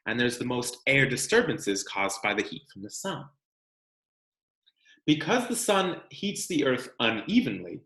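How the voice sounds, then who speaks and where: A man reading a children's science book aloud as a teacher, expressive and explanatory, speaking directly to young students. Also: mid-range voice, echoey room.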